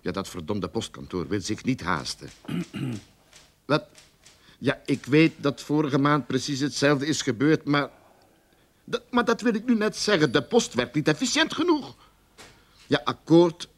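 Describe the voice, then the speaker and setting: An actor in a radio play playing a blustering, money-grubbing, lying detective agency boss with a sarcastic, exasperated manner. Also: male voice, mid-range voice, big pitch swings, a little slow, pauses often. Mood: defensive, irritated. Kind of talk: radio drama acting.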